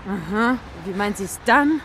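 A woman's voice: mid-range voice, lively intonation, unhurried, fairly bright tone, a little hiss or hum. A woman playing a brusque, irritable, defensive working mother in a children's radio play, with an offhand, sarcastic way of talking. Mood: irritated, sarcastic. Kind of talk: naturalistic German radio drama acting.

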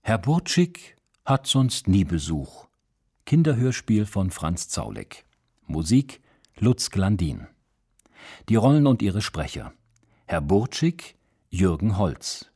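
A man's voice: lowish voice, warm tone, slightly rough. A man reading a scripted credits announcement as a radio announcer.

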